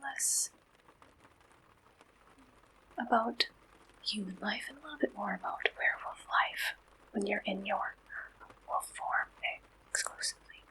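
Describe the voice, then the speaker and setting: A woman whispering an ASMR roleplay as an attentive, businesslike dating service agent, asking questions softly and deliberately in a breathy voice. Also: mid-range voice, rough recording. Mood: gentle, attentive.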